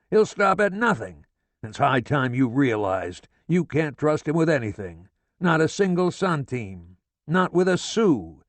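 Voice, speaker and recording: A man voicing a furious, foul-mouthed middle-aged father who rants and shouts curses at his family. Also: fairly high pitch, dry recording, pitched above the performer's own voice.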